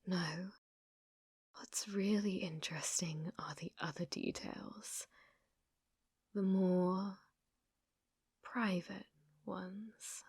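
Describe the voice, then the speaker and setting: Woman acting an obsessive British stalker girl, unhurried and intimate. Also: lowish voice, steady tone, a little mellow, breathy edge, dry recording, studio quality, full character voice. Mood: sly, menacing. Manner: intimate, unhinged, swinging between whispers and intense pleading. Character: obsessive, delusional young woman stalker, sweetly menacing. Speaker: ASMR roleplay performer. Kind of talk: ASMR roleplay acting. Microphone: close ASMR microphone.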